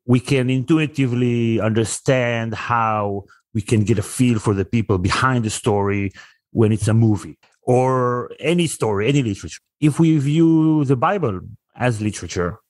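A man's voice: on the low side, no echo. A man whose first language is Hebrew, a guest on a podcast, explaining his ideas thoughtfully with some fillers. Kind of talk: casual podcast conversation.

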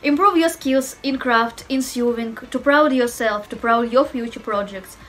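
A woman's voice: a little high, normal speed, fairly bright tone. A woman in her twenties from Russia with a Russian accent, a cosplayer chatting to camera at home in careful non-native English. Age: 20s. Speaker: cosplayer and costume designer. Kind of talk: self-introduction to camera. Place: home room indoors.

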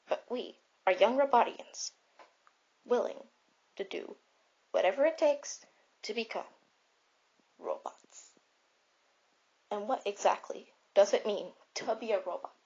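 In a dull lo-fi recording, a woman plays a gentle robot mentor giving comfort in an online roleplay. The voice is husky and mid-pitched. It moves in halting, repeated phrases, earnest and encouraging.

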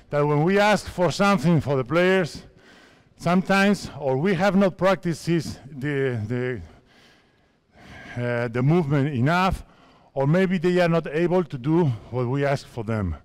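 Man in his fifties, from Spain, native Spanish speaker, basketball coach, slow deliberate delivery, slightly high voice, short commands, basketballs bouncing behind. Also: clear, resonant tone. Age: 50s. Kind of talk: coaching clinic on court. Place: large indoor sports hall.

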